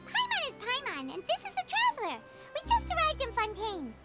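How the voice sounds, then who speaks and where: A woman voicing a tiny, curious, chirpy fairy companion with a squeaky childlike voice in an animated video game. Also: fairly quick, full character voice. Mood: friendly, chirpy. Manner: perky, refers to self in third person. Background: background music.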